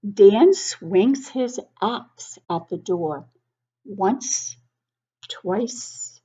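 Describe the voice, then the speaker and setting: A woman reading a picture book aloud to young children, expressively, pausing to explain things and ask the listeners questions. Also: medium pitch, lively intonation, warm tone, slightly rough, dull audio. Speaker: children's book club host and reader.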